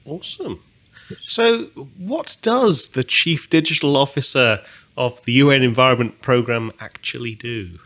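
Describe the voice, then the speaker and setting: A man's voice: mid-range voice, animated, unhurried, fairly bright tone. A man hosting a podcast, introducing a guest and asking questions with many uhs and restarts. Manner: hesitant, many uhs, friendly. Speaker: podcast host. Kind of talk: casual interview talk.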